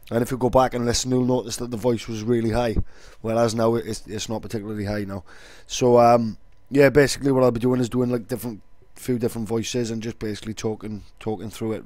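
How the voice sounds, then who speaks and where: A man's voice: mid-range voice, darkish tone, no echo. A man with a Welsh accent, explaining things casually as an online video creator.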